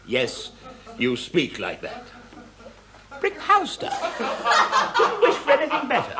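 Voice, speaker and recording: Wildly expressive, bossy male theatre director. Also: mid-range voice, drawn-out words, very noisy, exaggerated voice. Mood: amused. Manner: condescending, exclamatory, emphatic. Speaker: actor playing a stage director. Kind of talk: theatrical radio drama acting.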